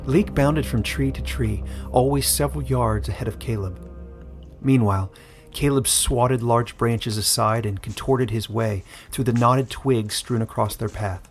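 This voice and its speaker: A man reading his own fantasy story aloud with steady storytelling. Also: mid-range voice, unhurried, gravelly, soft background music. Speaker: author and narrator. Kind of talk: scripted fantasy narration.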